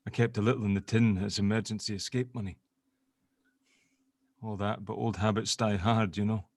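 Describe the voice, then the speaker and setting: A man's voice: on the low side, steady tone, normal speed, darkish tone, no echo. A man acting a nervous, guilt-stricken man, close and dry. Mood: weary, bitter. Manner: stammering, hesitant, sweary. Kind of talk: dramatic script read-through.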